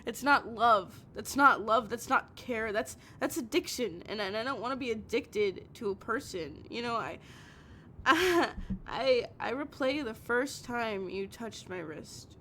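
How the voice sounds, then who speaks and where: A woman playing a heartbroken, obsessive young woman in an intimate audio roleplay, pleading over the phone in halting, stammered, repeated phrases. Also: lively intonation, bright, forceful tone. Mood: anguished, confessing. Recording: noisy recording with low rumble.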